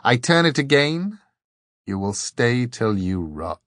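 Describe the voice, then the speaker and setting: A man's voice: medium pitch, big pitch swings, takes it slowly, a bit bright, dry recording, clean studio sound. A man voicing a young, stern monk gatekeeper in an audiobook, formal and riddling, as if reciting ritual words. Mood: cryptic.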